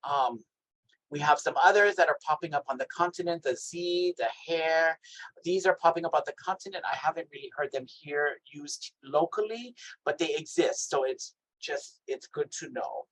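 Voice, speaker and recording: A man from Hawaii with a naturally high voice, giving an emphatic workshop talk over a video call and checking in with "right?". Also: normal speed, rough recording. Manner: lively, emphatic, asks "right?" often. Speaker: health equity trainer. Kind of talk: workshop presentation.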